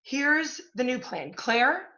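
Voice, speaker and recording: Actress as pushy scheming boss lady, high sharp voice, salesy, stage play, slightly muffled recording. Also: sing-song, takes it slowly, heavily acted. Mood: triumphant, scheming. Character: brash, scheming, fast-talking literary agency boss woman. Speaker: stage actor. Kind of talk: theatrical one-act stage play acting.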